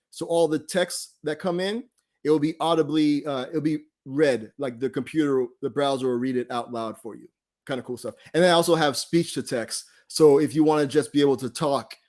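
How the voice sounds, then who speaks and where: A man hosting an online study group, casually explaining website features in an informal, laid-back, conversational way. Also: on the high side.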